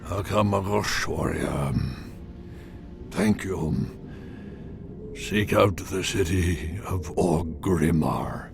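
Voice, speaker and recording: A man voicing a dying old orc warrior, proud and shamed, speaking weak, halting and heavy lines. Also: sits low, gravelly, over music, full character voice. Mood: dying regret. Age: older.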